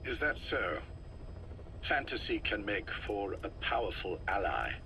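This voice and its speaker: Calculating secret org boss from a video game, real slow n measured, sharp commanding tone, bit of hiss. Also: male voice, on the low side, big pitch swings, full character voice. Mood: cool, commanding. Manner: measured, deliberate, cool and commanding. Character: calm, calculating older male leader of secret organization. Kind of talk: dramatic video game voice acting. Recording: faint noise, recorded tape audio.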